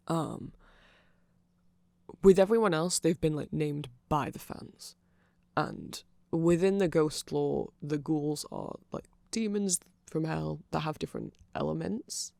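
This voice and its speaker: Rambling man with a very creaky, gravelly voice. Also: a little high, steady tone, measured pace, darkish tone, no room sound. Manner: rambling, nerdy, self-correcting, checks in with "right?". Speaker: ASMR creator. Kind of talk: rambling ASMR explainer.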